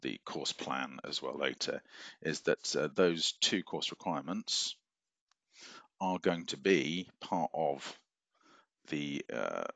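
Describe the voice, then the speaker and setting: A man's voice: rumbling low, animated, slow pace, gravelly, a bit bright, no echo. A middle-aged man giving a recorded university lecture from his office over a webcam, rambling with many fillers and restarts. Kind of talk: recorded lecture walking through a syllabus. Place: office at a desk, screen-sharing.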